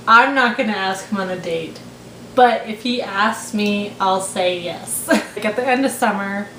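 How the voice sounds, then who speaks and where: A woman's voice: mid-range voice, a little slow, bright, forceful tone. A woman in her twenties chatting casually as she tells a story, giggly and quoting herself. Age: 20s. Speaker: storyteller in a couple's vlog. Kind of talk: casual chat, telling a story. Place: small living room, indoors. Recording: roomy echo, faint room noise.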